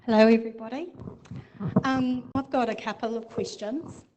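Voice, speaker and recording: A woman's voice: a little high, fairly even intonation, moderate pace, a little mellow, lo-fi sound. Female resident, direct questions, slight background noise. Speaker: resident speaking at public meeting. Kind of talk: public meeting question.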